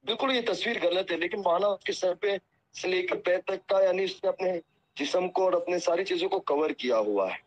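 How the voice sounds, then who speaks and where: A man's voice: sits high, a little fast. A deferential, apologetic man speaking earnestly and piously as a cleric in a phone conversation, heard over a muffled call recording. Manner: pious, peppered with religious phrases. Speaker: Islamic cleric.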